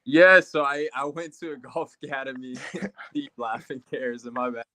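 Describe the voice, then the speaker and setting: A man's voice: mid-range voice, moderate pace, bright, forceful tone, no room sound. A young man from Puerto Rico, a guest telling rambling stories in casual chat with many fillers.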